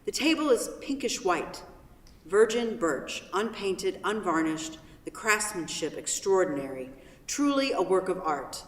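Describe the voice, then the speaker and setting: A woman's voice: medium pitch, very animated, unhurried. A woman reading scripted stage directions as the narrator of a staged play reading. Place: theatre, recorded from far off. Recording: echoey hall, distant microphone.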